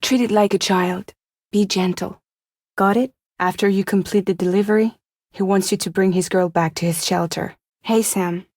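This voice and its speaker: A woman's voice: mid-range voice, fairly even intonation, moderate pace, darkish tone, dry recording, studio quality, lightly acted. An actress playing a weary, wry young woman courier in a video game, guarded but caring, speaking in an understated, intimate way. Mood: matter-of-fact, wry.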